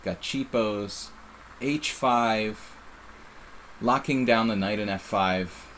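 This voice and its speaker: A man's voice: on the low side, takes it slowly, fairly bright tone, slight background noise, low-quality recording. A middle-aged man with a faint Philadelphia accent, casually commenting on his own live chess game in a rambling, self-interrupting way. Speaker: chess streamer.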